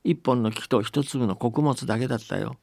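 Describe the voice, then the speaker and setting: A man's voice: medium pitch, moderate pace, creaky, a little mellow, breathy edge, no echo. A man voicing a witch's obedient elder daughter, speaking plainly and bluntly in a storybook tale. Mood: matter-of-fact.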